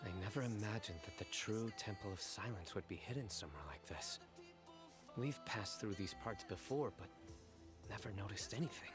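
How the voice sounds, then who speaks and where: An actor playing a wary adult traveler, calm and cautious. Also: male voice, fairly low pitch, moderate pace, close to an everyday voice. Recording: game dialogue with music behind.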